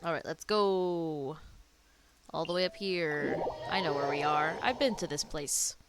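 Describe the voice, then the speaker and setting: A woman's voice: low voice, measured pace, background noise. A woman streaming a game, reacting in a casual, offhand way and swearing freely. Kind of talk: casual gameplay reaction commentary. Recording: game music clearly behind voice. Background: video game music.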